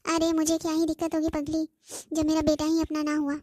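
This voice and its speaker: Cartoon mother woman actor: middle-aged widow, high voice, gentle, wounded, plaintive lament. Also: monotone, a little fast, dry recording, studio quality, close to an everyday voice. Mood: grieving.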